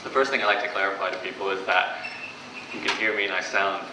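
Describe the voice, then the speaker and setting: A man from Australia with a Canadian accent giving a live workshop presentation in an event room, speaking in a quick, chatty and informal way to the audience. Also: deep voice, little pitch movement, roomy sound, distant mic, background noise. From Brisbane, Australia.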